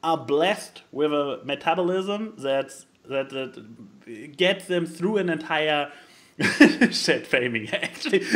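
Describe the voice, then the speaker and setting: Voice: medium pitch, sing-song, slow, a bit bright. A podcast co-host in casual chat, commenting in a theatrical, emphatic way and stumbling over words.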